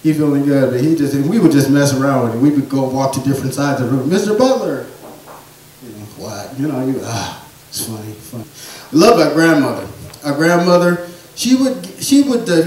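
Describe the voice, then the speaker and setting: A man telling rambling family stories in a stand-up set, with a soft voice he says he has. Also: fairly high pitch, sing-song, fairly quick, heavy reverb, distant mic, low-quality recording. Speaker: stand-up comedian. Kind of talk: storytelling stand-up comedy. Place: small theatre or bar stage.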